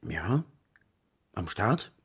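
A man's voice: low-pitched, sing-song, extremely slow, fairly bright tone, breathy edge, full character voice. An actor playing a reluctant but tender father in a German children's radio play. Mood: reflective, reluctant.

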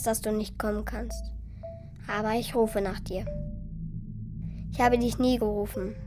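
A woman's voice: a little high, darkish tone, natural voice. A woman playing a small wooden puppet boy, brave, earnest and precocious, with a childlike lecturing manner in a children's radio play.

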